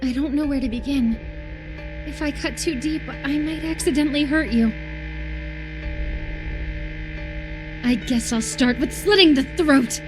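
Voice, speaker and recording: A woman's voice: sits high, moderate pace, over music, lightly acted, rough recording. A woman voice-acting an unhinged, obsessive lover in a horror visual novel, intense and volatile, swinging between tenderness and menacing fury. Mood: eerie calm. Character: unhinged, obsessive lover convinced an impostor replaced their beloved.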